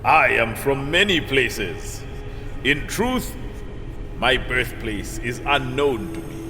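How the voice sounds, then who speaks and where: A man's voice: medium pitch, background noise. A man playing the friendly, well-traveled spirit of a man in his prime, speaking with courteous, wistful, poetic phrasing in an audio drama. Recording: long cavernous reverb over music.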